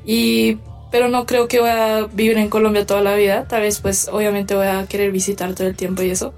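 A woman's voice: mid-range voice, flat delivery, fairly quick, a little mellow, soft background music. A teenage girl answering interview questions casually, sounding a little unsure. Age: teens. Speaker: student being interviewed.

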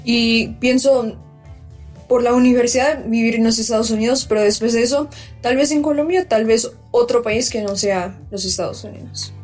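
A woman's voice: a little high, moderate pace, darkish tone. A teenage girl giving brief, tentative interview answers. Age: teens. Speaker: student being interviewed. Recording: distant mic with faint music.

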